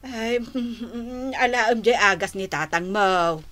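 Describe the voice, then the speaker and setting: A woman's voice: mid-range voice, slow, fairly bright tone. A woman acting in a radio drama as a scheming, coaxing middle-aged wife and mother with an Ilocano accent. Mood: plotting.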